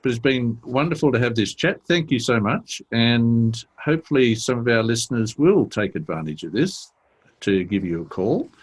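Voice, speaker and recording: A man's voice: mid-range voice, expressive, moderate pace, husky. A man hosting a podcast interview, friendly and affirming toward his guest. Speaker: podcast host.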